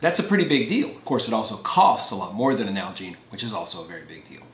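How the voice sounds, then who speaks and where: A man's voice: lowish voice, very animated, fast talker. A man, reviewing outdoor gear to camera in a plain, earnest way. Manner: earnest, plain explaining with hand gestures. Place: indoor gear room with shelves. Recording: echoey room.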